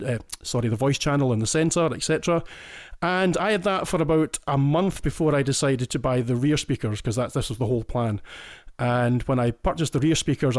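A man's voice: mid-range voice, darkish tone, no echo. Scottish man, enthused, dry close sound. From Scotland. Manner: detailed, enthusiastic, often restarts phrases. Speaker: listener guest. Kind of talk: casual podcast chat.

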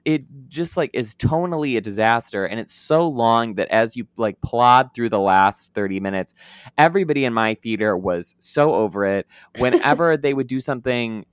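Male podcast co-host, chatty and opinionated, smooth clear voice, dry close recording. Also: mid-range voice, moderate pace, no echo. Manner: chatty, opinionated, many fillers and restarts. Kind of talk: casual chat reviewing a movie.

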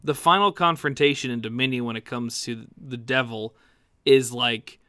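A man in a casual, animated film discussion, amused and conversational, close on the microphone. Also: medium pitch, normal speed, clear, resonant tone, no room sound. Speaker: film podcast host.

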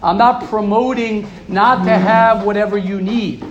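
High-voiced man giving an emphatic Torah talk. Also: fairly even intonation, unhurried, reverberant, muffled recording, background noise. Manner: emphatic, repetitive, rhetorical questions. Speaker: rabbi giving a class. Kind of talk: Torah lecture to a group. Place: room with a live audience.

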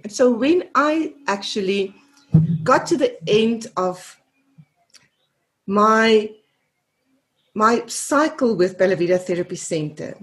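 A woman in her fifties from South Africa with a South African accent, Afrikaans as her first language, a therapist guest earnestly recounting her career in an interview. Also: medium pitch. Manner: earnest, repeats words while searching for them. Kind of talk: reflective interview answers.

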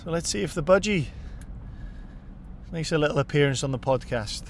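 A man's voice: a little high, expressive, normal speed, slight background noise. A man with a Welsh accent whose first language is Welsh, hosting a podcast and chatting casually while driving. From Wales. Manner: chatty and upbeat. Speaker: podcast host. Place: in a car on the road.

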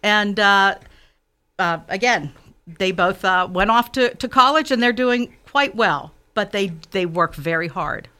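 A middle-aged woman from Western Pennsylvania, a political candidate answering interview questions earnestly, often pausing and restarting. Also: mid-range voice, animated, bright, forceful tone, smooth. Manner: earnest, halting with fillers and restarts.

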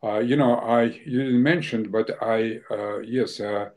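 A man's voice: medium pitch, fairly even intonation, a little slow, darkish tone. Hesitant older man. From born in Ukraine, came from Russia. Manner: hesitant, many fillers and restarts. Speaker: guest. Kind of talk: interview answers.

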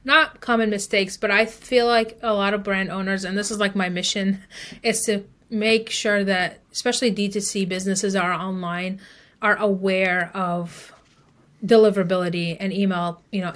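A woman's voice: medium pitch, fairly even intonation, normal speed, a bit bright. A woman speaking as an expert guest in a podcast interview, explaining advice conversationally. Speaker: email marketing expert guest.

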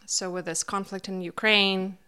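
A woman's voice: mid-range voice, level tone, normal speed, sharp tone, smooth. A middle-aged woman from Kazakhstan recalling her family's past in an interview, speaking reflectively with occasional fillers. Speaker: interviewee, NGO founder. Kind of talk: personal recollection in an interview.

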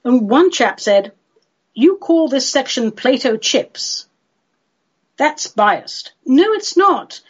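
A woman's voice: high-pitched, big pitch swings, takes it slowly, husky, highs cut off. A woman from London with a London English accent hosting a chatty solo radio show in a playful, theatrical, droll manner. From London, England.

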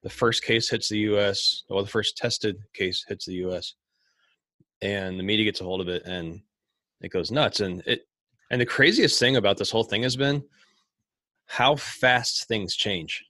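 A man's voice: on the low side, normal speed, sharp tone, no echo. A man from Ohio who runs a small business, talking casually and rambling with lots of restarts and fillers. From Ohio, USA. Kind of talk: casual conversation.

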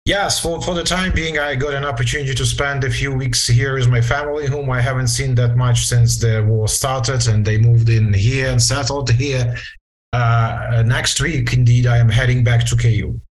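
A man in his late forties with a Ukrainian accent giving reflective interview answers, halting and searching for words in formal, wordy phrases. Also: mid-range voice, steady tone, rough recording. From western Ukraine, Transcarpathia. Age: late 40s. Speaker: interview guest, lawyer.

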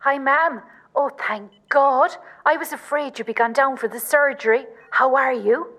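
A woman's voice: sits high, moderate pace, fairly bright tone. A woman playing a dramatic, exasperated but loving Irish daughter with a Cork accent in an amateur radio play. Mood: breezy, sardonic. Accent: Irish, County Cork.

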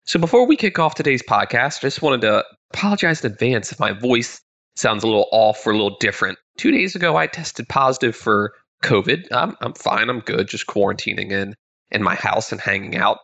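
A man's voice: on the low side, expressive, fairly quick, vocal fry, pushed and bright. Congested, crackly-voiced male podcast host. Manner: upbeat, conversational, with frequent word repeats. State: congested, slightly nasal from illness. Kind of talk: solo podcast monologue.

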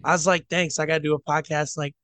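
Sick-sounding male co-host, voice rough, blunt storyteller, dry booth sound. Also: on the high side, flat delivery, racing, a bit bright, no echo. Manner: blunt and profane, tells stories. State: rough voice from the flu. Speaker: podcast co-host. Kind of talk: casual sports chat.

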